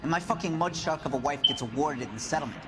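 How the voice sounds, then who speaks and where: An actor playing a defiant, bitter 1940s gas fitter in a video game, gruff and mouthy. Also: male voice, a little high, rapid-fire, loud music behind the voice, lightly acted. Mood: bitter, angry. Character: defensive, bitter 1940s working-class male gas fitter. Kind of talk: dramatic video game acting.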